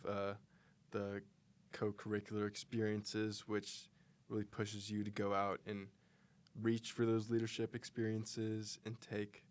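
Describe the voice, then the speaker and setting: A young man, a college student, answering interview questions in an earnest, steady way with some fillers. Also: lowish voice. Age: young adult.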